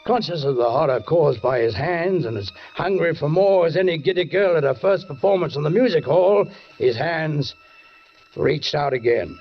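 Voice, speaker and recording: Dramatic, high-pitched police sergeant, old radio. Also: male voice, animated, faint music behind. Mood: grim, ominous. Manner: measured, dramatic, with pointed pauses. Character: suspicious, deliberate police sergeant narrating a murder case. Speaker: actor in a radio mystery. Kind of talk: 1940s radio drama acting. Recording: dull 1940s radio recording.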